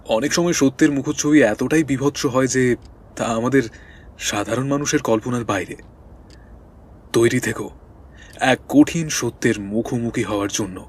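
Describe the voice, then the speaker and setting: An actor playing a shrewd, composed detective in an audio drama, speaking deliberately and formally as he explains. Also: medium pitch, husky, natural voice. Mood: grave warning. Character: shrewd, composed male detective unravelling a murder, husband. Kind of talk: Bengali audio drama acting.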